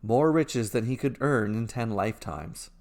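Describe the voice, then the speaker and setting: A man narrating a scripted fairy tale as a storyteller, in a measured, deliberate way. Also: mid-range voice, creaky, darkish tone. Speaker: storyteller narrating his own fairy tales.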